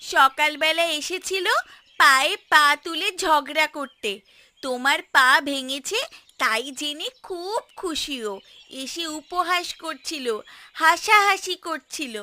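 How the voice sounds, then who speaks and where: A woman voicing an emphatic village wife who talks to her husband in a cartoon story, quick to complain. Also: sits high, sharp tone, full character voice. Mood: indignant.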